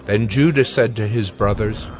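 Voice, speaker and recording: A man narrating dramatized scripture in a measured storytelling manner. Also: on the low side. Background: background music.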